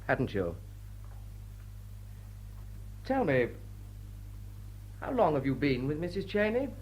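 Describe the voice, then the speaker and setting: An actor playing a dashing, sardonic young lord in period drama, breezy, teasing and probing. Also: male voice, fairly high pitch, wildly swooping pitch, moderate pace, a little mellow, husky, full character voice. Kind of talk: period stage comedy radio drama.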